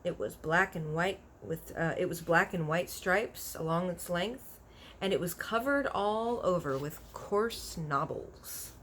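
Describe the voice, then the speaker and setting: A woman narrating a children's book aloud in an expressive storytelling style. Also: on the low side, unhurried, a little hiss or hum. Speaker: story reader.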